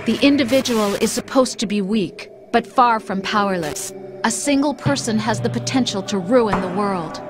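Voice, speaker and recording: A woman voicing a calm, mocking machine mind. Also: on the high side, moderate pace, lightly acted. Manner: soft, calm, mocking persuasion. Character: calm female machine voice, coldly persuasive. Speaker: game character. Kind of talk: video game cutscene voice acting. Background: dramatic music score.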